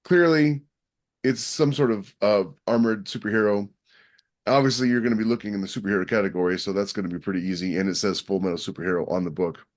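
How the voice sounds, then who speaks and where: Emphatic low-voiced man explaining on a podcast. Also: quick pace. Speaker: podcast co-host. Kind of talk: casual podcast chat.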